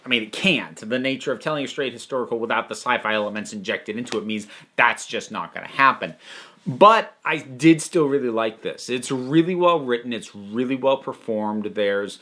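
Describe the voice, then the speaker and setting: Male review host, mid-pitched with a bright, forceful edge; casual and emphatic, thinks aloud in halting, wandering sentences. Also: animated. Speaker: host reviewing audio dramas. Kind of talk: casual unscripted review commentary.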